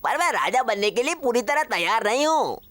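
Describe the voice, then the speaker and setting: Lively, timid cartoon boy prince. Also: on the high side, brisk, dry recording. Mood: nervous, evasive. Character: timid, evasive young boy posing as a prince. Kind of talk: animated cartoon dub acting.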